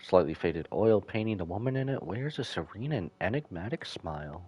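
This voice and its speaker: A man's voice: deep voice, big pitch swings, moderate pace, darkish tone, breathy edge. A man giving casual, slangy live commentary as he streams a video game.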